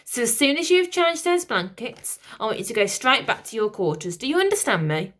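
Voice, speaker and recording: A woman's voice: on the high side, big pitch swings, fairly quick, fairly bright tone. A woman voicing a suave, menacing male Lord Protector who purrs and commands. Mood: commanding. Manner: purring, commanding.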